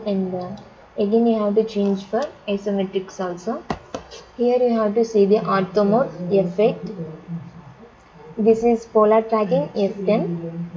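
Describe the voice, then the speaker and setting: A woman teaching drafting software step by step, halting and often repeating and rephrasing herself. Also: medium pitch. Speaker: software instructor. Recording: dull lo-fi sound, crowd chatter behind.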